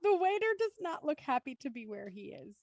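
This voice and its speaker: A woman's voice: high-pitched, very animated, brisk, creaky, a little mellow, no room sound, dull audio. A woman reading stage directions as scripted narration.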